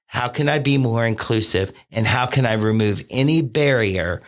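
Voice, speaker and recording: A man's voice: mid-range voice, normal speed. A man with a middle-range voice speaks as a podcast guest. He talks in an earnest, reflective way, weighing his points and building them up steadily in a conversational interview. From Cincinnati area. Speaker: podcast guest, consultant and franchisee.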